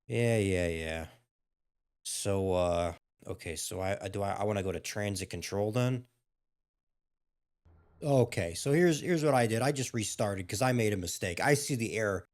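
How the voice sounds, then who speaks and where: A man's voice: on the low side, animated, moderate pace, darkish tone. Brief: male gaming YouTuber, a bit under the weather and losing his voice, rambling and hesitant between moves. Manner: rambling, hesitant, with frequent restarts and fillers. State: a little ill, losing his voice. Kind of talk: casual playthrough commentary.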